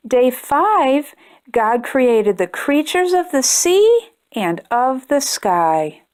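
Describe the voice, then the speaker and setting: A woman voicing a gentle mother reading scripture aloud to her child, in a slow, measured recital. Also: high voice, big pitch swings, full character voice.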